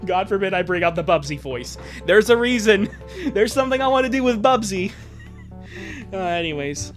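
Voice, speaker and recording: A man's voice: high voice, expressive, normal speed, faint music behind. A man chatting casually on a live stream, sarcastic and prone to swearing. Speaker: streamer reading fan fiction aloud.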